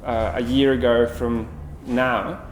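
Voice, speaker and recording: A man's voice: mid-range voice, level tone, slow pace. A man from Chile, talking easily about his art practice in an interview. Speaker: program graduate, painter and art teacher. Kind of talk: interview testimonial. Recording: echoey room with faint hiss.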